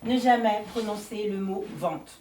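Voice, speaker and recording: A woman's voice: mid-range voice, normal speed, dark tone, close to an everyday voice. A woman playing a curt, matter-of-fact sales mentor who gives short lessons. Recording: roomy, distant film sound with faint noise.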